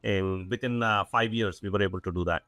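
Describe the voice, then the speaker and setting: Earnest South Indian man, bright voice. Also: sits low, steady tone, dry recording. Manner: halting, many fillers and restarts, earnest. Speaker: guest, IT company CEO. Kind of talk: casual interview talk.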